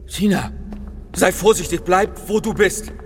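A man's voice: sits high, unhurried, faint music behind. A man acting in a radio crime drama as an anxious, bewildered academic, hesitant and questioning. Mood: alarmed. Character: bewildered, anxious male academic caught in intrigue.

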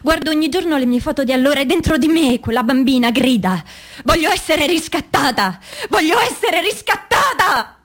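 A woman's voice: high voice, animated, moderate pace, a bit bright. An actress playing an anguished mother who gives an intense, confessional testimony of past abuse in a dramatic stage monologue. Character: anguished mother recounting abuse by a priest in girlhood.

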